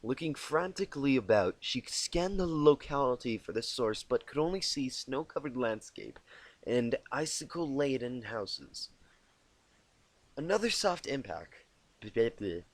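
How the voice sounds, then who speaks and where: A man narrating a storybook tale as an amateur reader, halting and often repeating words he stumbles on. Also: mid-range voice, very animated, no echo. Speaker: amateur fan fiction reader. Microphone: inexpensive home microphone.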